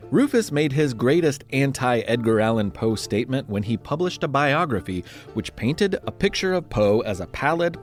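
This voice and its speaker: A man hosting and narrating a history podcast for children, reading a script in a conversational, playfully dramatic way. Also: mid-range voice.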